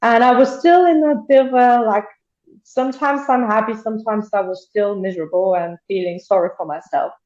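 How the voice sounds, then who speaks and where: A woman from Bulgaria speaks as a guest on a video call, her voice sitting a little high. She talks in a chatty way, laughs often and restarts her sentences. The sound is echoey and dull, like a room picked up by a laptop microphone. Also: a little fast, a little mellow. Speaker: interview guest. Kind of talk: casual interview over video call.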